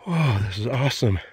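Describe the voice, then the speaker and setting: Man in his sixties, out of breath, gravelly, unhurried, short exclaiming bursts, casual outdoor vlog. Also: medium pitch, darkish tone, slight background noise. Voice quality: husky, gravelly. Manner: folksy, exclaiming, talking to himself in short bursts. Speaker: travel vlogger. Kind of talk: casual hiking vlog narration. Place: outdoors on rocky trail. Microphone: handheld phone at arm's length.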